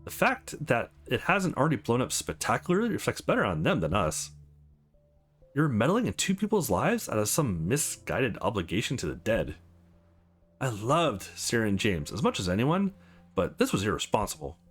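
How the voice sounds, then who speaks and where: A man's voice: wildly swooping pitch, moderate pace, husky, faint music behind. A man voicing a stern, protective businesswoman in a visual novel, blunt and wry in manner. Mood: angry. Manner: blunt, low and reproachful.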